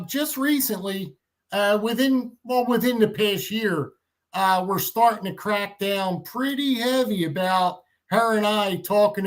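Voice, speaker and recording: A man's voice: high voice, a bit bright, rough recording. A fifty-year-old man in a casual chat, talking effusively and often repeating his phrases. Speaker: guest on a livestream chat.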